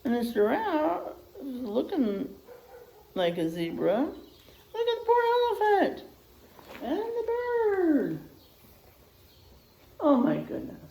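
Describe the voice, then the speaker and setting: A woman reading a picture book aloud in an expressive, child-directed way, with asides and questions to young listeners. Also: high voice, slow pace, gravelly, long pauses, echoey room, poor audio.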